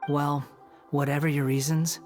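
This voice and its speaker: A woman's voice: low voice, little pitch movement, moderate pace, a little mellow, natural voice. A woman voicing a bitter, proud young male former villain in a video game, dry, scornful and aloof. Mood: brooding. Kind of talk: scripted video game dialogue. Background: music.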